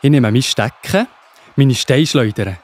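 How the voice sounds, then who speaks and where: A man's voice: mid-range voice, lively intonation, a little slow, darkish tone, breathy edge. A man with a Swiss German accent playing a brave, faithful young shepherd, earnest and declamatory, in a children's audio drama. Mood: indignant, determined.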